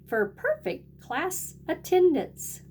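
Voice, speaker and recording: A woman's voice: very high-pitched, wildly swooping pitch, slow, a little mellow, slight background noise. A woman kindergarten teacher reading a picture book aloud and talking directly to young children in a sing-song way.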